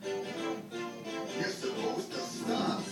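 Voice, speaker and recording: A man voicing an upbeat, friendly puppet who teaches fire safety to children in a folksy, emphatic way. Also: very slow. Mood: earnest, friendly. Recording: echoey room, distant playback with loud music.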